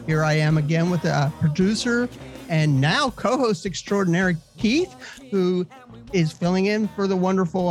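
A man's voice: fairly high pitch, darkish tone. An American man with a congested nose hosts a casual co-hosted discussion in a jokey, rambling way. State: congested from allergies. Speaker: podcast host.

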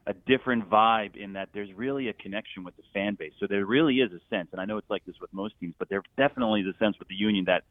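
A man's voice: lowish voice, fast talker, few pauses, dry recording. A man who works as a stadium announcer, chatting casually and enthusiastically as an interview guest over a phone line. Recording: thin phone-line sound, dry.

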